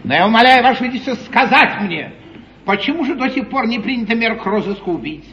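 An actor playing an eloquent, ironic English lord in a classical 1950s stage comedy, declaiming grandly. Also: male voice, very high voice, expressive, fast talker, bright, forceful tone, full character voice. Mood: courtly challenge. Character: eloquent, theatrical English lord, an opposition politician. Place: theatre stage. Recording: dull 1950s live theatre recording, echoey hall, hiss.

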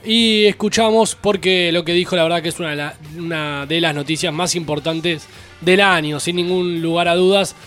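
A man's voice: high-pitched, steady tone, normal speed, fairly bright tone, faint music behind. Man presenting the news. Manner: reporting, a bit hesitant. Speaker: radio host.

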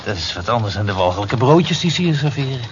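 A man's voice: medium pitch, very animated, quick pace, natural voice. An actor in a radio drama playing a reserved, embarrassed office man with a mild, reluctant manner. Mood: mildly protesting. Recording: dull, noisy old radio recording.

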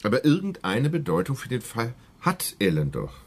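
A man's voice: medium pitch, huge pitch swings, moderate pace, husky, heavily acted. An actor playing a skeptical, probing man in a murder inquiry in a German crime radio play. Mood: insistent.

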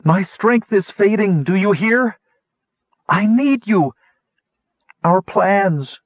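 A fierce, commanding warrior woman of a fantasy storybook, acted out by a male reader. She calls out with urgent force in a strained, much higher pitch with wide swings and a husky edge. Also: animated, slow, no echo, narrow, tinny audio, exaggerated voice. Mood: desperate.

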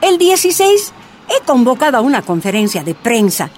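A woman's voice: on the high side, big pitch swings, moderate pace, darkish tone, soft background music. An actress playing an exiled woman activist in a radio drama, telling her story plainly. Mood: recounting.

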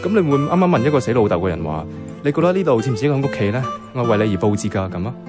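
A man's voice: medium pitch, animated, very fast, natural voice. An actor playing a pragmatic young man in a Hong Kong Cantonese radio drama, hesitant in love, with a dry, incredulous, colloquial way of talking. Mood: frustrated, defeated. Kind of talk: radio drama dialogue with some narration. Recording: music under the voice.

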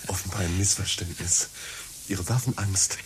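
A man's voice: deep voice, fairly even intonation, moderate pace, slight background noise, natural voice. An actor playing a timid, bumbling company man in a satirical radio play, formal and eager to appease. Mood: soothing, placating.

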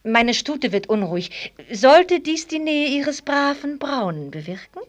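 An actress in a German radio play voicing a proud, ironic young heiress with a formal, teasing manner. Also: female voice, high-pitched, big pitch swings, a little slow. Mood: ironic, reproachful. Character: proud, mocking young millionaire's daughter who rides fast.

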